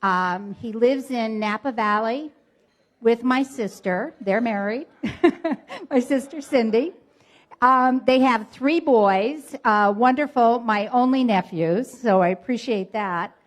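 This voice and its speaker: A woman's voice: a little high, slow pace. A woman in her sixties introducing a guest speaker at a club meeting, informal, with ums and light joking. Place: meeting room.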